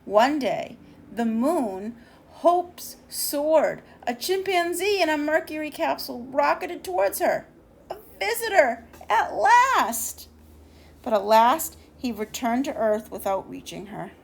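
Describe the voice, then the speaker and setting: A woman reading a children's storybook aloud, expressively, with deliberate dramatic emphasis and the odd stumble over words. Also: high-pitched, big pitch swings, creaky.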